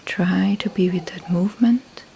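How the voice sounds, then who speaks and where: A woman leading a guided meditation in a soft, breathy near-whisper, calm and unhurried, with long pauses between short phrases. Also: lowish voice. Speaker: meditation teacher. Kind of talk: slow guided meditation instruction.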